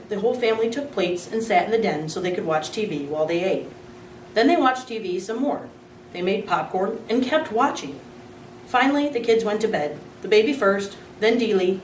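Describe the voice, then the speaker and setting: A woman's voice: mid-range voice, a little hiss or hum. Woman reading a storybook, roomy and distant. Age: adult. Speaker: school secretary reading aloud. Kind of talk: reading a children's novel aloud.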